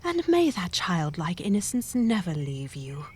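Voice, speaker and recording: A tender young wife in an audio drama. Her husky, lowish voice moves slowly and lovingly, with a lilting melody. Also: very animated, a little mellow, full character voice. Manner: tender, measured.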